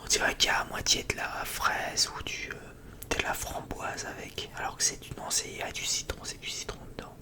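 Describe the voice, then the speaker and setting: Whispering ASMR creator, rambling and hesitant. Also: brisk. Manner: rambling, hesitant, often repeating words. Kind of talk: whispered ASMR casual chat.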